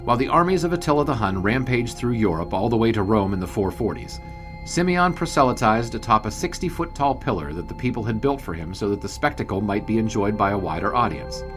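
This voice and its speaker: A man's voice: lowish voice, very animated, a little fast. A man playing a seasoned old-time sports writer, narrating a scripted history story in a dry, wry way. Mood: measured. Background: background music.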